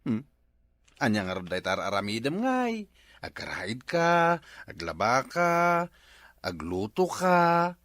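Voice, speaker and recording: A man's voice: mid-range voice, wildly swooping pitch, takes it slowly, a bit bright, no echo, full character voice. A man with an Ilocano accent acting a slack, boozy, teasing village husband in a comedy skit. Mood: mocking. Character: slack, boozy village husband living off his wife's remittances.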